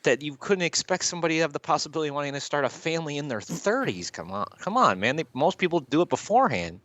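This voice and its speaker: A man's voice: on the high side, sing-song, fast, a bit bright, no echo. A man co-hosting a podcast, reacting to news in casual chat with a blunt, profane manner. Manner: blunt, profane, exasperated interjections. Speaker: podcast co-host.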